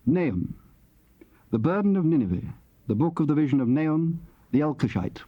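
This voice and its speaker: Formal scripture announcer, slightly high and lively, muffled tape sound. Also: male voice, moderate pace. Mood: formal, declaring. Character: formal announcer of a prophetic book. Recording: dull cassette tape.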